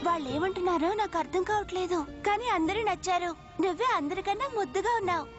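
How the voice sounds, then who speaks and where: A woman playing a sweet, outspoken girl in an animated series dub. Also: extremely high pitch, fast, lo-fi sound, music in the background. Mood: sweet, curious.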